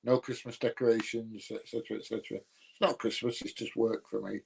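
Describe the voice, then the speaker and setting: A man's voice: lowish voice, little pitch movement, fairly quick, creaky, darkish tone, slight background noise, rough recording. A man with a Nottinghamshire accent that sounds close to Yorkshire, hosting a livestream with casual, blunt, drily grumbling chat. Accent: Nottinghamshire, Yorkshire-sounding English. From Nottinghamshire, England. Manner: blunt, colloquial, grumbling dry humour. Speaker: livestream host. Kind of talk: casual chat answering live viewer comments.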